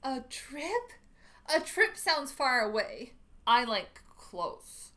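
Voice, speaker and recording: Woman voicing a lively high-pitched storybook goose. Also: very slow, heavily acted. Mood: wary. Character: cautious, grumbling homebody goose, picture-book character.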